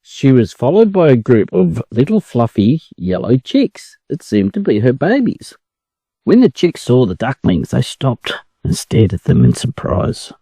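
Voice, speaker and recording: An Australian man narrates a children's story for language learners. His voice is soft and mellow, with animated intonation. The recording is dry and close. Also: mid-range voice, unhurried, slightly rough, no echo.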